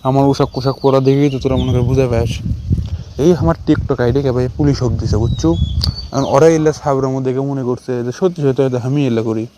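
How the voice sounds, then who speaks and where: A man's voice: mid-range voice, fairly even intonation, quick pace, mellow, slight background noise, lightly acted. A man with a northern Bangladeshi accent playing a desperate, pleading village TikToker in a cartoon skit. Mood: worried. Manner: rambling, complaining, pleading. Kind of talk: colloquial dialogue in a cartoon skit.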